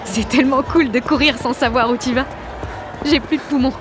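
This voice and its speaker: A woman's voice: mid-range voice, lively intonation, normal speed, music in the background, a crowd in the background, natural voice. A woman playing a cocky, exalted sixteen-year-old girl activist, narrating an audio drama in brash, confiding slang. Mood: euphoric. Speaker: lead actor and narrator.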